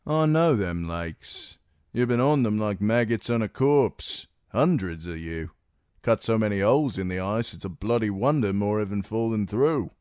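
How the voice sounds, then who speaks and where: A man voicing a gruff, coarse scout who grumbles bluntly. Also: lowish voice, expressive, moderate pace, a little mellow, no echo, clean studio sound, voice pushed up, lightly acted. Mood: grumbling. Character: gruff noseless male scout, blunt commoner.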